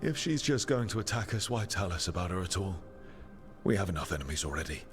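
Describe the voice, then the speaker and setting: Low-voiced earnest hero. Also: male voice, big pitch swings, fast talker. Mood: questioning. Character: earnest, resolute adult male hero. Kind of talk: video game dialogue acting. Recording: music behind the voice.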